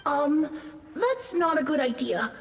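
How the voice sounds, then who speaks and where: An actor voicing a timid, gentle boy ghost who got lost, speaking shyly and hesitantly. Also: very high voice, sing-song, slow, heavy background noise, full character voice. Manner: shy, hesitant, soft-spoken. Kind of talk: video game voice acting.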